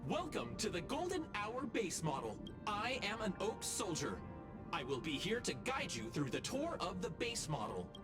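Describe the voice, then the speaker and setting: An actress playing a polite, cheerful mechanical toy soldier tour guide in a video game, speaking formally. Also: female voice, mid-range voice, big pitch swings, lightly acted. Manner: formal, measured, mechanical customer-service phrasing. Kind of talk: scripted game character dialogue. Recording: game music behind the voice.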